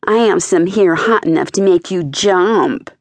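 A woman's voice: fairly low pitch, a little slow, dry recording. A woman voicing an ill-tempered female cook in a storybook, scolding and bossy. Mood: angry scolding. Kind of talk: storybook character voice.

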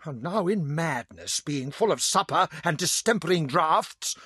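A man's voice: on the high side, animated, measured pace, sharp tone, slightly rough, no room sound, clean studio sound, full character voice. An actor playing an indignant aging senator father, commanding and angry, in a dramatised audiobook.

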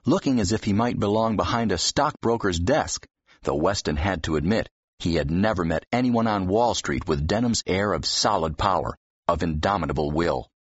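Deep, husky male audiobook narrator. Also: lively intonation, normal speed, dry recording. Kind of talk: expressive scripted fiction narration. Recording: dull, slightly muffled audio.

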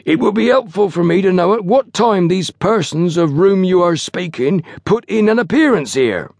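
A man's voice: medium pitch, sing-song, moderate pace, breathy edge, no room sound, studio quality, pitched above the performer's own voice. A man voicing a large, pompous, easily bewildered rustic station master in an audiobook story, with lofty dignity and uneducated grammar. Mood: outraged, lofty. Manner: lofty, sarcastic dignity with dropped grammar and misplaced aitches.

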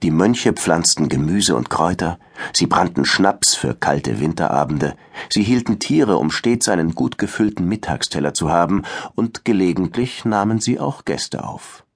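Very deep, husky male storyteller. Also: expressive, normal speed. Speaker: audiobook narrator. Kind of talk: scripted children's history narration.

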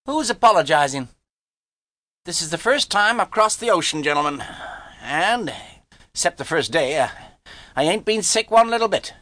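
Guy doing a bragging american teen boy, slangy, pitched way up, swingy delivery, storybook reading. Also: very animated, moderate pace, long pauses, sharp tone, no echo, full character voice. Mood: boastful. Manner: slangy, cocky, showing off. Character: brash, boastful rich American teenage boy.

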